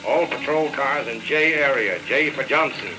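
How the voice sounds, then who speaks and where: An actor playing an official police dispatcher calling patrol cars in a 1940s radio drama. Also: male voice, mid-range voice, normal speed, over music, lightly acted. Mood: official, urgent. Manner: flat official announcing. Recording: noisy crackling old radio transmission.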